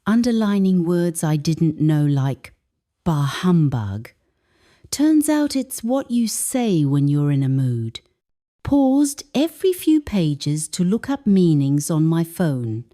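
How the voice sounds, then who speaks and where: Slow, mellow woman narrating. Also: sits low. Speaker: English teacher narrating a story. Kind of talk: scripted first-person story narration.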